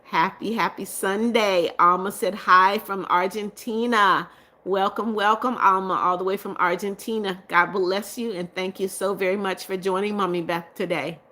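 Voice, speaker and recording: A woman's voice: medium pitch, steady tone, normal speed. Woman livestream host reading viewer comments. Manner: reads comments aloud and answers viewers warmly, laughs often.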